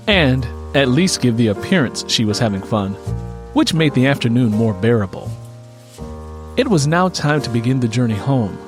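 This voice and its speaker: A man reading a story as a scripted fiction narrator, in an expressive storytelling manner. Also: mid-range voice. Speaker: audiobook narrator.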